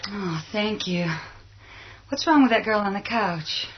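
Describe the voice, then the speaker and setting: Lady acting weary sharp tongued mom in horror audio drama, husky mid voice, dull recording. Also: medium pitch, expressive, unhurried. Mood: worried. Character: worried mother and bitter, scolding wife. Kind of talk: horror radio play acting.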